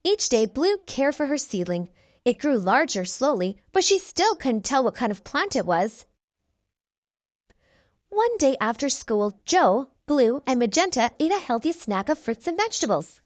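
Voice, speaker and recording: A woman's voice: high voice, very animated, measured pace, a little mellow, no echo. A woman narrating a children's book aloud, expressively and with careful enunciation. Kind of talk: read-aloud storytelling for young children.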